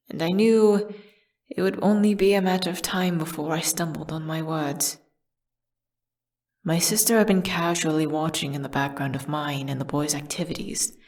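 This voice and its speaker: Casting: female actor as condemned witch for a fantasy ASMR drama, low register, breathy and measured, solemn, tragic storyteller. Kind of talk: dramatic first-person ASMR audio storytelling.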